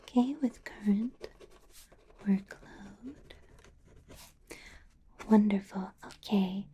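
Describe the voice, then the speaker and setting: A woman voicing a doting, possessive vampire boss in an ASMR roleplay, in a soft breathy whisper with a coaxing, controlling sweetness. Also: medium pitch, very slow, a little hiss or hum. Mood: sweetly bossy. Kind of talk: ASMR roleplay, close whispered personal attention.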